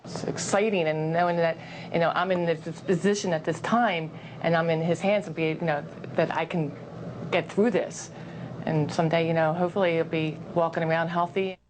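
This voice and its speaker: A woman's voice: lowish voice, steady tone, moderate pace. A woman speaking in a news interview soundbite, hesitant and heartfelt with "you know". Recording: noisy field interview recording.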